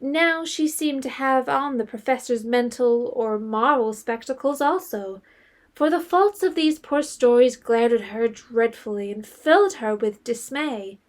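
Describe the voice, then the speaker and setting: A woman's voice: a little high, a little slow. A woman reading scripted storytelling narration as the narrator of a period audio drama.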